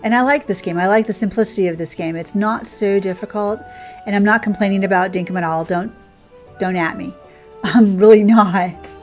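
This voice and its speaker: A woman's voice: mid-range voice, moderate pace. A woman streamer chatting casually as she plays, rambling and thinking aloud in a conversational way. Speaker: game streamer, host. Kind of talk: casual livestream chat while playing. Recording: music playing behind the voice. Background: background game music.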